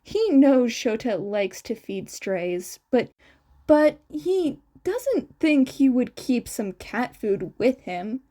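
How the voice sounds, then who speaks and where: A woman's voice: fairly high pitch, big pitch swings, slow, mellow. A woman narrating a story aloud, reading scripted fiction. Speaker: podfic narrator.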